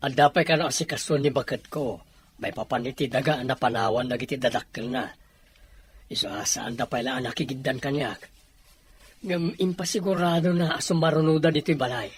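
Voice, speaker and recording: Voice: medium pitch, fairly quick, slightly rough. A performer playing a troubled, earnest working husband and father in an audio family drama. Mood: relieved. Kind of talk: dramatized audio story acting.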